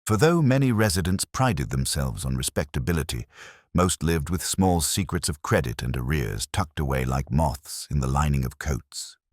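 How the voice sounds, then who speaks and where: Very deep male storyteller. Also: animated, dry recording, clean studio sound. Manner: measured, literary storytelling. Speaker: audiobook narrator. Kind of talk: scripted narration of a mystery story.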